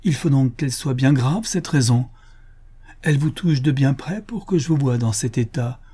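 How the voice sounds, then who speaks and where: A man's voice: medium pitch, brisk, mellow, voice pushed up, natural voice. A man reading the part of an anguished young woman who pleads and begs in a classic novel audiobook. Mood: pleading. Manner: desperate, imploring.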